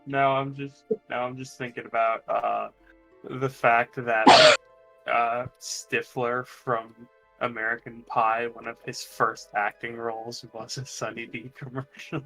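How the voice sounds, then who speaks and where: A man speaking haltingly with dry asides as a player on a streamed tabletop game, with faint music behind. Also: mid-range voice, flat delivery, bright, forceful tone, low-quality recording.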